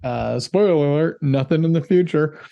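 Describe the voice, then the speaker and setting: A man co-hosting a casual movie review podcast, reading out ratings and box office numbers in a relaxed way. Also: a little high, normal speed, vocal fry, no room sound, dull audio. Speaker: podcast co-host.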